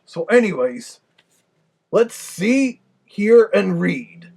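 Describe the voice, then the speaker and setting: A man giving casual review commentary as a vlogger, in a husky, gravelly voice. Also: high voice, sing-song, extremely slow, a little mellow.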